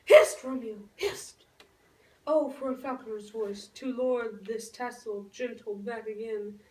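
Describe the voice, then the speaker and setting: A woman playing a lovestruck, earnest young noble girl in a puppet show, speaking Shakespearean verse in a theatrical, drawn-out way. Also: on the high side, fairly even intonation, mellow, natural voice. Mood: yearning. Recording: roomy echo, distant microphone.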